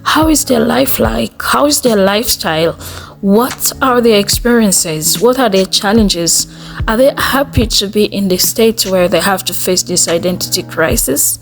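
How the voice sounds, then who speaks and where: A woman's voice: mid-range voice, a little slow, creaky, soft background music. A woman narrating an educational video, posing rhetorical questions to viewers. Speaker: compilation narrator.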